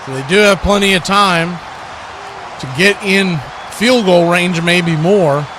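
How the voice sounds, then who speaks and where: High-pitched man calling play-by-play, noisy backdrop. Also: slow pace. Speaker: live stream play-by-play host. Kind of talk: play-by-play sports commentary and chat.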